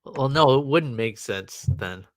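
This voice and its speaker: A man's voice: medium pitch, animated, takes it slowly, dry recording. A man co-hosting a casual sports podcast, rambling with lots of fillers and restarts. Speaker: podcast co-host. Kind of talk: casual chat.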